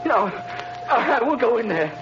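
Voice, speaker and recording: An actor playing a terrified condemned prisoner in a 1930s radio drama, pleading frantically. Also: male voice, very high-pitched, very animated, a little slow, very noisy, full character voice. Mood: panicked pleading. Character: terrified condemned male prisoner facing execution. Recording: muffled 1930s radio broadcast.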